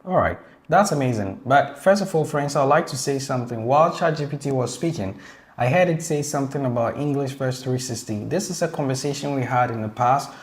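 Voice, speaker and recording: Male host, casual tutorial delivery, eager and conversational, mid pitch. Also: animated, a little fast. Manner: eager, chatty, addresses the audience directly.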